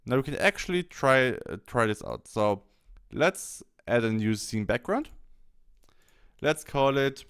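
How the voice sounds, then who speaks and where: A man narrating a software tutorial, explaining things step by step. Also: mid-range voice, lively intonation, measured pace, clear, resonant tone. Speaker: tutorial host. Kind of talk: software tutorial narration over screen recording.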